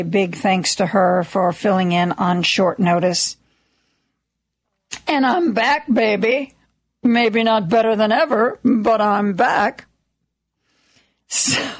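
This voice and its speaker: Slow, slightly raspy woman radio host. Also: mid-range voice, no room sound. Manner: chatty, self-deprecating, many ums and restarts. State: a little hoarse, lingering cough. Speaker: radio talk show host. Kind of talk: casual on-air monologue. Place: radio studio. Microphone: studio microphone.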